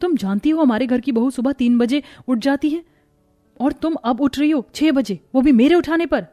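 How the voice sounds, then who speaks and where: A woman's voice: sits high, normal speed, faint music behind, lightly acted. A woman voicing a responsible, protective elder sister-in-law who instructs the family in a cartoon story. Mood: firm. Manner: gently instructing.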